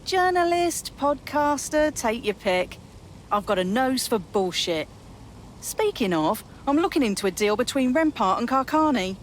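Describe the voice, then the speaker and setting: An actress playing a brash, nosy journalist and podcaster in a video game, brisk and self-assured. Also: female voice, high voice, very animated, slight background noise. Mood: confident, brisk. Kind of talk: video game dramatic acting.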